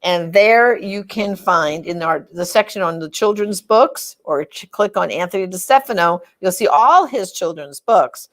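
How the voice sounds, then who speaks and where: Older woman host, conversational and halting. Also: lowish voice, animated, a bit bright. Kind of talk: casual promotional talk to audience.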